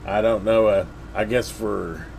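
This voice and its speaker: A man's voice: fairly low pitch, steady tone, a little slow, creaky, darkish tone, a little hiss or hum, low-quality recording. A man casually reviewing a radio at his workbench, rambling with lots of fillers and restarts.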